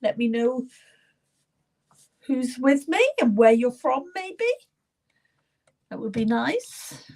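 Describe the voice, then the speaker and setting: A middle-aged woman hosting a live psychic reading session, chatting gently with viewers by name, her voice still a little croaky and at times falling to a whisper. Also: high-pitched, lively intonation, takes it slowly, pauses often. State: slightly croaky voice. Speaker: psychic medium.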